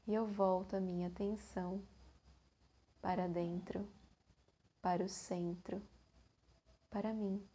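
Brazilian woman doing guided meditation, super slow, mellow breathy voice, lots of pauses. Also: mid-range voice, little pitch movement. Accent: Brazilian Portuguese. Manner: slow, soothing delivery with long pauses.

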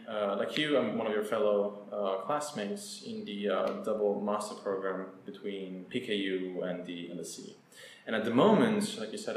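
A young man from Italy, a graduate guest answering interview questions in a measured way, with frequent filler pauses. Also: fairly low pitch. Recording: echoey room, faint hiss.

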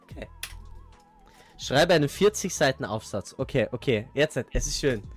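A man's voice: mid-range voice, lively intonation, normal speed. Playful male podcast host over music. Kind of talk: casual chat with playful intro reading. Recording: loud music behind the voice. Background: eerie ambient music.

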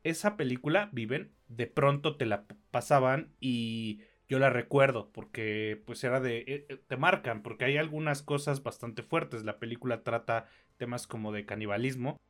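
Casting: male podcast co-host, Mexican, medium pitch, loose slangy conversational delivery, swears freely. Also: moderate pace, few pauses, a bit bright. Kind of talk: casual film review chat.

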